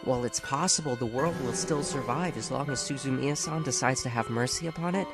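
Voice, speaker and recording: A calm, polite young man who has become a glowing spirit, played by a man, speaking in a mid-range voice with an easy, lightly teasing smoothness. Clear music plays behind him in this fan-made audiobook. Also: medium pitch, brisk, natural voice.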